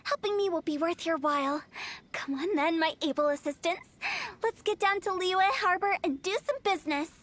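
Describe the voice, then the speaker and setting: A woman's voice: extremely high pitch, expressive, moderate pace, a bit bright, slight background noise. A woman voicing a quirky, playful teenage girl funeral director in a video game, glib and theatrical with a morbid cheer. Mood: scheming, chirpy. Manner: theatrical, glib sales patter. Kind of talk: animated video game voice acting. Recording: game music behind the voice.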